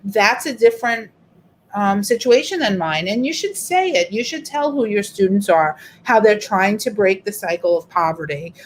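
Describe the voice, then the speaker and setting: A woman's voice: mid-range voice, big pitch swings, moderate pace, slight background noise. A middle-aged woman teacher, walking a group through an online workshop in a chatty, explanatory way. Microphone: video call.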